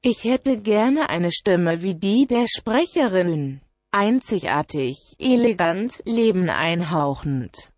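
A woman voicing a yearning artificial intelligence in a radio drama, in separated, deliberate phrases. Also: fairly low pitch, animated, dry recording.

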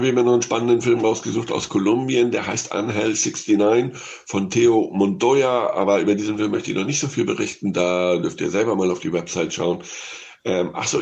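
A man's voice: lowish voice, vocal fry, a little mellow. A man giving an unscripted film review as a radio critic, hesitant and thinking aloud as he goes.

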